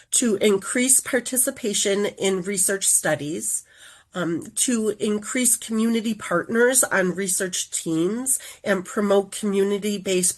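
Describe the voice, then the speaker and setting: A woman with a head cold and a nasal voice gives a careful, explanatory online presentation as a community engagement coordinator. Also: medium pitch.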